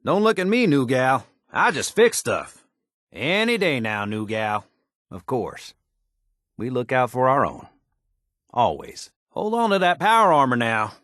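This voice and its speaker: A man's voice: medium pitch, very animated, unhurried, fairly bright tone, dry recording, studio quality. An actor playing an easygoing, wry wasteland handyman in a video game, with a folksy, slangy way of talking. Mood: grateful, wry, then matter-of-fact. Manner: folksy, colloquial, drawling slang. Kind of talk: video game character dialogue.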